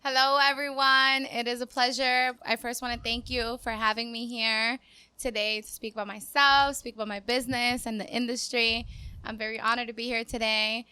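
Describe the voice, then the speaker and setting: A woman's voice: fairly high pitch, flat delivery, normal speed, pushed and bright, clean tone, no echo. A woman in her twenties from Cuba, a dancer chatting casually as a podcast guest in an upbeat, talkative way. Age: 20s. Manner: upbeat, chatty, laughs easily. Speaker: guest, dancer and business owner. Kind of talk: casual interview talk.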